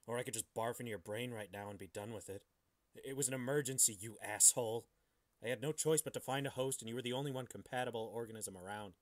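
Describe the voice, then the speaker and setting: A man reading the part of a grouchy, defensive alien parasite in a podcast story, huffy and halting. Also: medium pitch, no echo, lightly acted. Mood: huffy, pleading. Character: grouchy, defensive alien parasite living inside a man's body. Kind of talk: hobby podcast dramatic reading.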